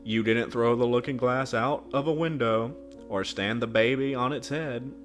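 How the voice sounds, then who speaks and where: A man's voice: mid-range voice, normal speed, soft background music, voice pushed up, lightly acted. A man voicing an odd young village girl who talks in prim, teasing riddles and sounds pleased with herself.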